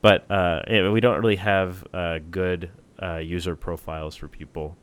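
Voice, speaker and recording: Very deep-voiced man chatting casually. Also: normal speed, slight background noise. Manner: relaxed, many uh fillers and restarts. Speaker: podcast co-host, software team member. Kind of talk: casual tech podcast chat.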